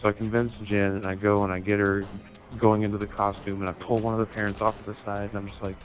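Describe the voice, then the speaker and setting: Lower-voiced man telling a sweary comic story. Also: quick pace, faint music behind, low-quality recording. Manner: sweary, animated retelling with acted-out dialogue. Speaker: storyteller in an online gaming video. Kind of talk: casual comic anecdote told over gameplay.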